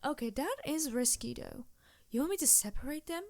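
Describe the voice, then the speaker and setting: A woman voicing a seductive, scheming succubus demon who is a loyal ally, speaking softly and musingly in an intimate improvised ASMR roleplay. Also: sits high, lively intonation, brisk, no room sound. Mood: wary, negotiating.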